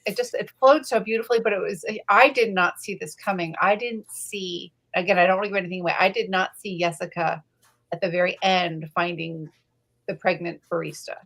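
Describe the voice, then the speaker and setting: A woman co-hosting a casual book club chat over a video call, speaking in an effusive, expressive way. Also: lowish voice, brisk, poor audio. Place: live online video call.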